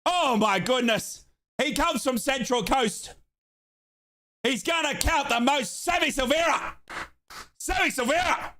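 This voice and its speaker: Guy yelling live match commentary from his home office, super high voice, bright and punchy. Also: unhurried, pauses often. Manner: excitable, shouts chants and play-by-play. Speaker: live watchalong host.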